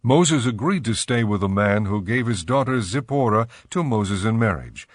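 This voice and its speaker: A man narrating a dramatized scripture reading as a measured storyteller. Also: fairly low pitch, animated, dry recording, studio quality.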